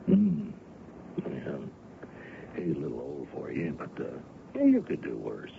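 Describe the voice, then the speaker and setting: Casting: indulgent middle-aged father for a radio play, bottomless gravelly voice, offhand and practical. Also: a bass voice, wildly swooping pitch, unhurried, dark tone, full character voice. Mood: easygoing, indulgent. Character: heroine's easygoing father, practical and affectionate. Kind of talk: old-time radio drama acting. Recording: dull, noisy vintage radio recording.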